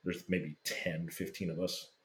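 A man casually telling a lively fan story, swearing freely and acting out what people said. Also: sits low, measured pace. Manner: animated, swearing, acts out quotes. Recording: roomy echo.

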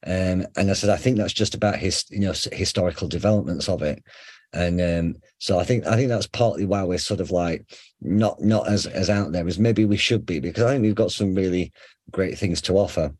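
A middle-aged man with a faint Sheffield accent talks through ideas in a relaxed, conversational way. His voice sits very low and has a husky edge. The sound is dry and close, with no room around it. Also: brisk, dry recording. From England. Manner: conversational, explains with examples, frequent fillers and restarts. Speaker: psychotherapist guest. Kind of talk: casual explanatory interview.

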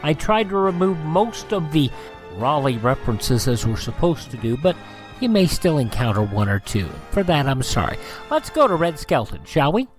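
A man's voice: fairly high pitch, big pitch swings, normal speed, faint music behind. A man hosting a radio program, presenting directly to listeners. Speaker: radio show host.

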